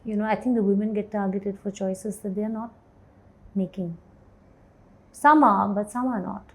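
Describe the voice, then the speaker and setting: A woman in her forties, an actor and writer, speaking candidly in an interview with a low voice, thoughtful pauses and easy laughter. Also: rough recording. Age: 40s. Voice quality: low voice, self-described as bass. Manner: thoughtful, hesitant, laughs easily.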